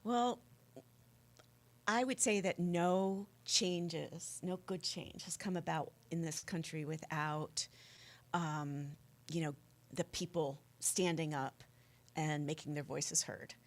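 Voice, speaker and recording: A woman speaking as an organizer in an unscripted radio interview, explaining earnestly and haltingly. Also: low voice, steady tone. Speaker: activist organizer, interview guest.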